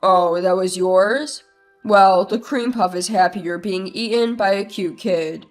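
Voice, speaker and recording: A woman's voice: medium pitch, slow, faint music behind, pitched below the performer's own voice, natural voice. A woman voicing a smug, scornful adult brother who talks down to his sister in a mocking way. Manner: mocking, dismissive.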